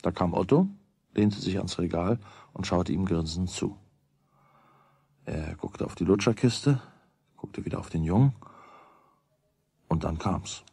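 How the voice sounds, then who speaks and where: A wry storyteller narrates a children's radio play. The voice is very deep and rough-edged, conversational and musing, in a very dry recording. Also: male voice, unhurried, pauses often, mellow, dry recording, full character voice.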